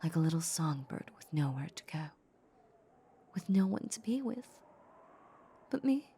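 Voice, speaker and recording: Woman acting a low, breathy, seductive vampire mistress. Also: very animated, a little hiss or hum, heavily acted. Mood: taunting, possessive. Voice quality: breathy, often half-whispered. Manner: slow, teasing, condescending purr. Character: seductive, possessive female vampire, teasing her human captive. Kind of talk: intimate roleplay audio drama. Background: soft ambient sound effects.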